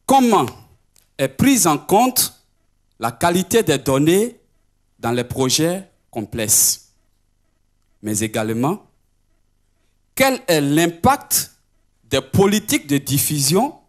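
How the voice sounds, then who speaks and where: Guy hosting a conference session, really slow and formal, reading out a prepared intro. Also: a little high. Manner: formal, measured, rhetorical. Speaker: seminar session host. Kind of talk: formal scripted introduction of speakers.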